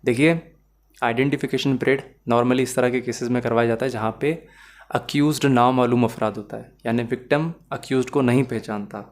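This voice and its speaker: A man's voice: medium pitch, fairly even intonation, a little fast. Pakistani man explaining like a lecturer. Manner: didactic, switches between Urdu and English phrases. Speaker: law lecturer. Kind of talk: explanatory lesson with worked examples.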